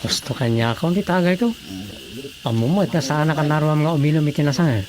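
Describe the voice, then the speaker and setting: A woman's voice: low voice, fast talker, darkish tone, close to an everyday voice. A woman acting a tipsy, grumbling drinker in an Ilocano radio drama comedy. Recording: faint background noise.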